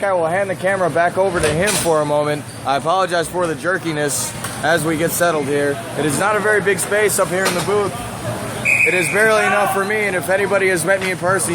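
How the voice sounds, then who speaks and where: A man working as a play-by-play announcer, voice hoarse from calling many games, talking briskly and breaking into shouts on big plays. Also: sits high. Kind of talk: live sports play-by-play commentary. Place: small broadcast booth above an indoor rink. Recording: loud arena crowd and noise.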